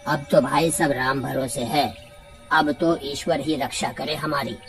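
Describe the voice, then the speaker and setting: A man's voice: medium pitch, fairly even intonation, unhurried, a bit bright, faint music behind. A man playing a worried, exasperated villager in a cartoon story, complaining in a dramatic, fretful way. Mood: worried, resigned.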